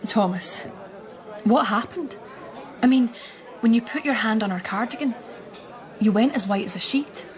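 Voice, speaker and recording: Higher-pitched, inquisitive Scottish policewoman played by an actress. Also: normal speed, pauses often, a faint crowd, close to an everyday voice. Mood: curious. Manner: probing, earnest. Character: curious, concerned female police detective constable. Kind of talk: naturalistic radio drama acting.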